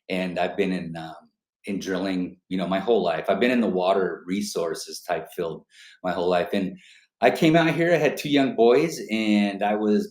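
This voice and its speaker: Brief: middle-aged man from Colorado, guest expert, lowish voice, rambling and relaxed, roomy echo on a call. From Colorado, USA. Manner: rambling, relaxed, many fillers and restarts. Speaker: well-drilling guest expert. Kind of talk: casual interview talk. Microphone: video call. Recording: echoey room on a video call.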